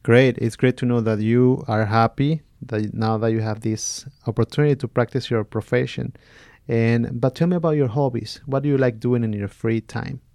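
Guy hosting a podcast, relaxed and friendly, a bit gravelly, mid pitch. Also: normal speed, dry recording, studio quality. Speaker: podcast host. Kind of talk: podcast interview.

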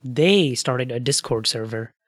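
A man's voice: medium pitch, unhurried, fairly bright tone. A man hosting a podcast, chatting casually and explaining things with asides and fillers. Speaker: podcast host.

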